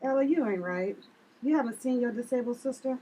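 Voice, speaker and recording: A woman's voice: fairly high pitch, expressive, fairly quick, dark tone, breathy edge, low-quality recording. A woman reading the part of a blunt, sardonic sister with a dry, dismissive manner in a family drama table read.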